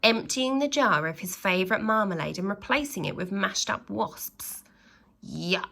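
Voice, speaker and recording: A woman reads a storybook to young children in a bright voice with a creaky edge. Her pace is slow and deliberate. She performs it expressively, stressing dramatic words and turning to her listeners as a teacher would. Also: medium pitch. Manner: expressive, with dramatic emphasis and exclamations; pauses to address young listeners with questions. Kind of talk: animated storytelling read-aloud for young children.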